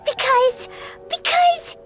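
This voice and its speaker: A woman voicing a tiny floating fairy companion, chirpy and cheeky, with quick playful quips. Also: extremely high pitch. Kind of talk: video game voice acting. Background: soft game music.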